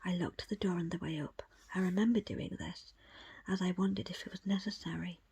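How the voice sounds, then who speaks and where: A woman whispers a story in an ASMR style, her voice almost entirely breath with very little tone. She reads in the first person as an audiobook reader, hushed and measured, keeping the low-set delivery soft throughout. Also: fairly even intonation, creaky, slightly rough.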